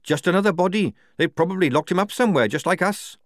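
Man doing a scornful soldier girl, pitched high. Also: expressive, fast talker, no echo, studio quality. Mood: sardonic. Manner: scornful, sardonic. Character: cynical, blunt, sardonic young woman soldier.

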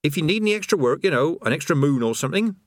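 A man doing a breezy creator of worlds who chats like a workman on a job, his voice bobbing up and down in a lively way. He sounds a little higher than an everyday voice, and the recording is dry and close. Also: rapid-fire, dry recording, close to an everyday voice. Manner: chatty, rambling, casual workman's talk. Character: cheerful absent-minded male creator of worlds, chatty tradesman.

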